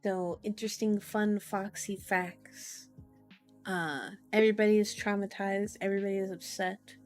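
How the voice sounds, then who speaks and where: A woman's voice: medium pitch, steady tone, moderate pace, a little mellow, soft background music. A woman hosting an ASMR book reading with casual, playful asides. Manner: playful asides, repeats words for fun. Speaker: ASMR host reading a novel aloud.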